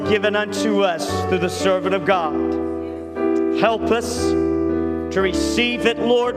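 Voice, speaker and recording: A man leading a reverent congregational prayer in a church. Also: high-pitched. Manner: slow, solemn, reverent. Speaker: worship leader. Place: church sanctuary. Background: loud keyboard music.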